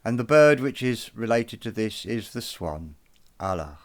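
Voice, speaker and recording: A man presenting an explanatory lesson, speaking in a slow, measured way and taking care over each pronunciation. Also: lowish voice, very animated. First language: not Irish. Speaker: presenter of an educational video.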